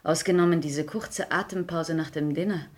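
An actress playing a witty, cynical high-society lady in a 1960s radio play, teasing and ironic. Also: fairly even intonation, normal speed, lightly acted. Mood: arch, mocking.

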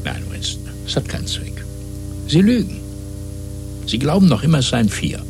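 An actor playing a cold, patient, fanatical party interrogator in a 1970s German radio play, lecturing in a measured way between soft murmurs and emphatic questions. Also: male voice, medium pitch, wildly swooping pitch, creaky, over music. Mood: stern, insistent.

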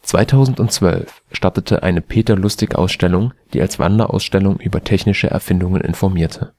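A man with a standard German accent, reading an encyclopedia article aloud in a steady, careful way. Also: deep voice, normal speed, no echo. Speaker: volunteer reader of a spoken encyclopedia article. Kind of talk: scripted read-aloud narration.

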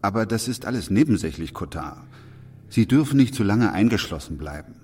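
A man acting a sober, measured doctor and narrator in a literary radio drama, calm, terse and matter-of-fact. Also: lowish voice, breathy edge. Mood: sober, restrained. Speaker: narrator and lead actor. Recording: music behind the voice.

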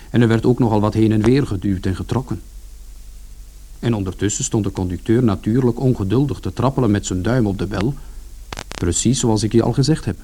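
A man's voice: on the low side, warm tone, close to an everyday voice. An actor playing a reflective young man recounting events conversationally in a radio play.

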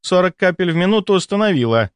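A man's voice: high-pitched, sing-song, quick pace, clear, resonant tone, no echo, studio quality, pitched above the performer's own voice. A man voicing an eager, cheerful female nurse in an audiobook. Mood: pleased. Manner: bright, eager.

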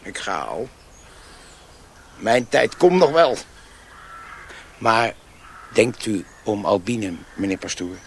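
An actor playing a fiery, defiant freethinking countryman in a radio play, forceful and accusing. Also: fairly low pitch, animated, slow, creaky, pauses often, slight background noise, exaggerated voice. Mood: threatening, accusing. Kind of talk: Dutch radio play acting.

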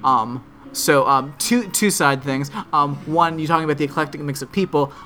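A man with a bright, forceful voice talks in a casual podcast chat, ranting animatedly and swearing freely. He has a strong, stage-trained voice, and there is faint music underneath. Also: a little high, normal speed. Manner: animated storytelling, frequent swearing and ums; trained projecting voice. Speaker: podcast co-host. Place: Orlando area.

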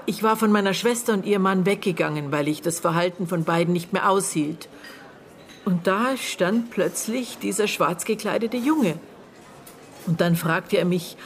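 A woman acts out a guarded middle-aged aunt in a radio drama. Her voice sits slightly low, and she answers curtly or gropes hesitantly through memories, prickly when pressed. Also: normal speed, lightly acted. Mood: guarded, recollecting. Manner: hesitant recollection, curt retorts. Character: prickly, guarded aunt of a dead girl, witness.

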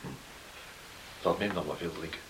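An actor in a Dutch radio play playing a kindly, practical village doctor with a measured, reassuring manner. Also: male voice, sits low, fairly even intonation, lightly acted. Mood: calm, reassuring. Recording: noisy old radio play recording.

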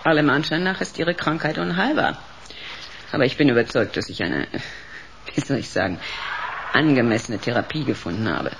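An actress playing a cold, authoritative professor of psychiatry in a radio drama, measured and clinical. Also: female voice, extremely low pitch, animated, vocal fry, background noise, heavily acted. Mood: cold, menacing. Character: cold, authoritative female professor running a locked psychiatric ward.

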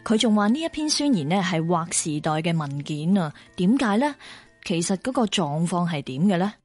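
A woman hosts and narrates a documentary program. She explains clearly and leads in with rhetorical questions. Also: fairly low pitch, very animated, moderate pace, darkish tone, faint music behind.

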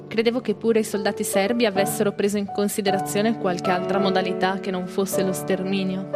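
A woman playing a grieving daughter in a theatrical monologue, recounting memories with measured, deliberate phrasing. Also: medium pitch, lightly acted. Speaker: stage narrator. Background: musical instruments.

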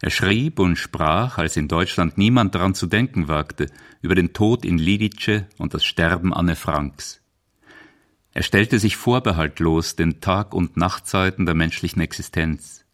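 A man's voice: sits low, a little slow, fairly bright tone. A man reading scripted narration for a radio documentary as its author and narrator.

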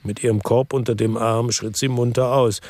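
An actor as a weary ex-cop narrator. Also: male voice, medium pitch, normal speed, no echo, natural voice. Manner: calm, reflective first-person narration. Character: world-weary ex-cop, middle-aged man, lives by the sea. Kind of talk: radio drama narration.

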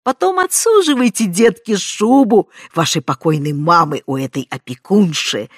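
A woman voicing a loud, spiteful middle-aged aunt who shrieks mocking accusations in a storybook reading. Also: fairly low pitch, lively intonation, normal speed, slightly rough, no echo, clean studio sound. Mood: triumphant.

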